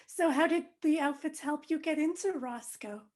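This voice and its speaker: Female interviewer, notably high voice, conversational questions over a video call. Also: moderate pace, a little mellow. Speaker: entertainment interviewer.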